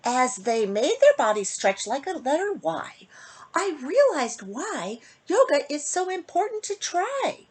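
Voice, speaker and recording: A woman reading a rhyming picture book aloud to children in an expressive, sing-song manner, with phrases that often end softly. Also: high-pitched, measured pace. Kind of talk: storybook read-aloud for children.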